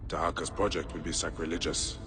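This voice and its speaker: Deep-voiced, brooding Egyptian warrior. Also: male voice, level tone. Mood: grim musing. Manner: solemn, deliberate, dramatic. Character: brooding, vengeful ancient Egyptian warrior, solemn and resolute. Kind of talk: video game cutscene acting. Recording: music under the voice. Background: loud cinematic music.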